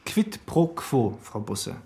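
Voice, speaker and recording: A man's voice: mid-range voice, very animated, takes it slowly, vocal fry, warm tone, heavily acted. A man playing a keen, insistent investigator in a crime radio play. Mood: explaining. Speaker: actor in a crime radio drama. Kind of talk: German radio play dialogue.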